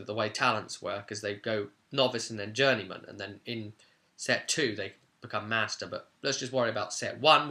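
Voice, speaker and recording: A man's voice: fairly low pitch, pushed and bright. A man giving an informal tutorial as a game master, conversational and stressing key words.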